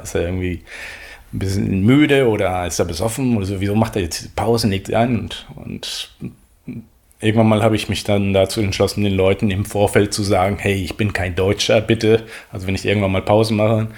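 A man in his forties from Venezuela, a native Spanish speaker, answering interview questions in a halting way, often restarting as he searches for words. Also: low voice, creaky, a little mellow.

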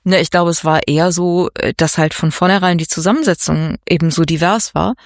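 A woman's voice: lowish voice, a little fast, no room sound, studio quality. A woman speaking casually as an interview guest from an art collective.

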